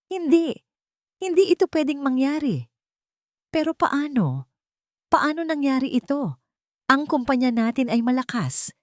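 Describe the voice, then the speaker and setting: A woman's voice: high voice, sing-song, unhurried, long pauses, no room sound, clean studio sound, voice pushed up. A woman voicing a proud, bossy wealthy older matriarch who screams and rants in a dramatized audio story. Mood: furious. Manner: screaming, tearful outbursts.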